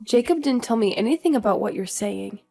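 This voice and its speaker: A woman's voice: on the high side, expressive, a little fast, vocal fry, warm tone, soft background music. A woman playing an earnest young daughter-in-law who is polite but firm, in a scripted audio story. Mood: defensive. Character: earnest, indignant young daughter-in-law standing her ground.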